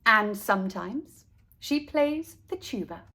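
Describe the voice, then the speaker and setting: Slow, animated woman reading a storybook. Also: mid-range voice. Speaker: children's book narrator. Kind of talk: expressive storybook read-aloud for children.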